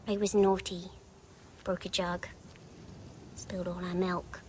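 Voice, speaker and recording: A woman's voice: on the low side, flat delivery, a little slow, darkish tone, slight background noise, natural voice. A small, innocent peasant girl played by a female performer in a fantasy game. She talks in naive, earnest, rustic phrases, with faint ambience behind. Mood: innocent, matter-of-fact.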